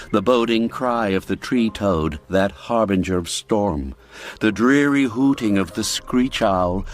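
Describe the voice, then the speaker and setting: A male narrator with a lowish voice tells a classic radio drama at an unhurried pace. He is an expressive storyteller whose delivery lifts and sinks with the suspense. A faint music score plays beneath him.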